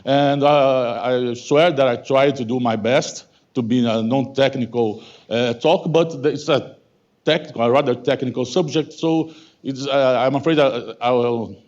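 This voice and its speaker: A man in his fifties from Brazil with a Brazilian Portuguese accent, Portuguese as his first language, giving a halting academic talk full of self-corrections and "okay?". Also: mid-range voice, darkish tone, lo-fi sound. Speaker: guest lecturer. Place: lecture hall.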